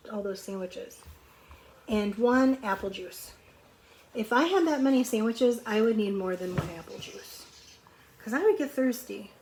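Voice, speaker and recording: Female teacher, higher-pitched and unhurried, expressive storybook read-aloud with chatty questions for kids, faint background noise. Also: poor audio. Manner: expressive, questioning, conversational with children. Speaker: preschool or elementary teacher reading aloud. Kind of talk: storybook read-aloud with asides to young children.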